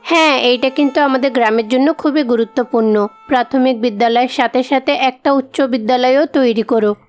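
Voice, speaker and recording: Woman voicing a devoted, high-voiced village wife. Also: fairly quick, lightly acted. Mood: encouraging. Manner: earnest, coaxing, reassuring. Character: devoted, generous, encouraging wife of a village headman. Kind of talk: cartoon story dialogue acting. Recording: music behind the voice.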